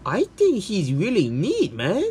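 A man voicing an excitable, gushing young guy with emphatic delivery in an amateur comic dub. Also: sits high, measured pace, a little hiss or hum, pitched above the performer's own voice, exaggerated voice.

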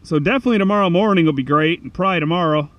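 A man in his thirties, vlogging casually from his truck and out on a frozen lake, thinking aloud in a relaxed, rambling way. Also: on the high side, rapid-fire, no room sound, dull audio. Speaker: fishing vlogger. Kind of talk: casual vlog narration. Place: inside a parked truck, then outdoors on a frozen lake. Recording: noisy outdoor camera audio.

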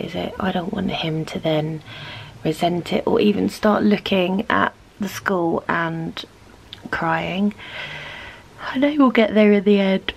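A woman's voice: fairly low pitch, creaky, slight background noise, rough recording. A woman, half-whispering casual chat while lying in bed with a stuffy nose and a sore throat, recorded on a camera close to her pillow. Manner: half-whispered, sleepy morning talk from bed. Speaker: vlogger.

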